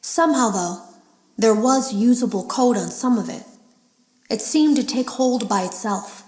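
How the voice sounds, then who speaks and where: An actress playing an uneasy female game tester, confiding in a measured, serious way in scripted audio logs for a horror game. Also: fairly high pitch, a little mellow, reverberant, close to an everyday voice, poor audio. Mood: uneasy, puzzled.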